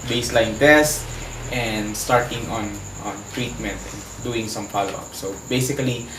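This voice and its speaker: A man with a Filipino accent speaking as an interview guest, earnestly and haltingly, searching for his words as he gives personal testimony. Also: mid-range voice. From Philippines. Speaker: HIV advocate, interview guest. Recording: echoey room, distant mic, faint background noise.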